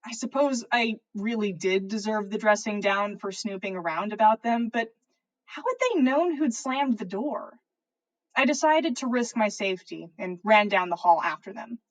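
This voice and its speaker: A woman reading fan fiction aloud as a podcast host, in expressive first-person story narration. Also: mid-range voice, moderate pace, poor audio.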